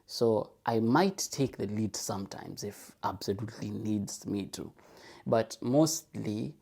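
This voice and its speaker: A man's voice: fairly low pitch, measured pace, gravelly, darkish tone. A man in a casual, reflective solo podcast monologue, thinking aloud, repeating phrases for emphasis and asking rhetorical questions. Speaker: podcast host.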